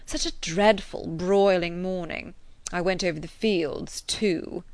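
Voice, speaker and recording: A woman's voice: medium pitch, normal speed, a bit bright, no room sound, close to an everyday voice. A woman voicing a fussy young clergyman who complains in an aggrieved, self-pitying tone.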